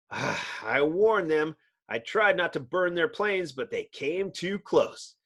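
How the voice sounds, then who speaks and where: A man's voice: a little high, expressive, slow pace, a bit bright, full character voice. A man voicing a cocky, hot-headed young superhero in an earnest, exclamatory way. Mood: rueful, alarmed.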